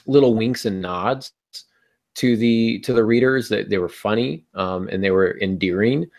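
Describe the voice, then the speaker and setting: A man's voice: fairly low pitch, normal speed, creaky, darkish tone. A middle-aged man hosting a panel podcast, explaining in a conversational way and posing rhetorical questions. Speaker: podcast host. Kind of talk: conversational writing-advice panel talk. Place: small room at home, indoors. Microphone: earbud headset on video call.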